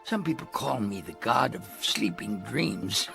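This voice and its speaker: A man voicing a tiny whimsical elf of sleep in an animated children's film, slow and deliberate, gently mysterious. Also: lowish voice, gravelly, exaggerated voice. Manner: slow, soft-spoken, deliberate. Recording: film clip with music underneath.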